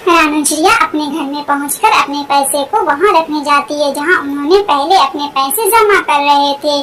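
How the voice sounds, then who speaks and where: A woman's voice: high voice, normal speed, a bit bright, faint music behind. A woman reading scripted narration for a children's cartoon story.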